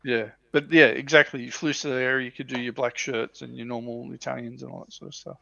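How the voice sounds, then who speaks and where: A man's voice: medium pitch, a little fast, gravelly, fairly bright tone, dry recording, lo-fi sound. A man co-hosting a livestream, chatting casually about tabletop miniature wargaming. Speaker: livestream co-host.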